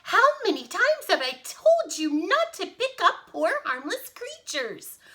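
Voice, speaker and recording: Woman acting a high-pitched, exclamatory little old lady. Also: wildly swooping pitch, slow pace, a bit bright, heavily acted. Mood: scolding. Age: older. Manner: exclamatory, dramatic. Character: tiny old woman, easily startled, scolding mother of a giant.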